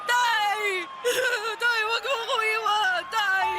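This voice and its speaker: A woman's voice: extremely high pitch, steady tone, very slow, full character voice. A woman playing an anxious, devoted young son in a Tagalog radio drama, speaking respectfully and with open emotion. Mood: panicked, grieving. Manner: respectful, pleading, emotional delivery. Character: anxious, devoted young boy named Boyet, a poor man's son.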